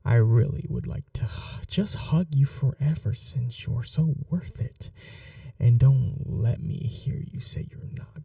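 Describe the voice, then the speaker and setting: Obsessive lovestruck kidnapper, male actor, soft and breathy, slow delivery with animated pitch swings, awkwardly tender ASMR roleplay. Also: dry recording, recorded from a distance, exaggerated voice. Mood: tender, coaxing. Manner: gentle, halting, coaxing reassurance. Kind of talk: intimate ASMR roleplay, often near whispering.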